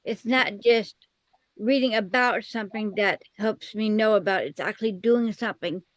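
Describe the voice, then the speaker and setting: A woman leading a casual Bible study discussion, often affirming others with soft "mm-hmm"s. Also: medium pitch, normal speed, no room sound.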